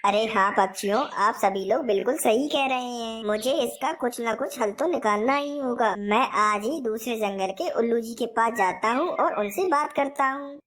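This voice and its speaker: A woman's voice: on the high side, moderate pace, few pauses, a bit bright, lightly acted. A woman voicing a fair, concerned parrot king with a formal, earnest manner in a children's cartoon.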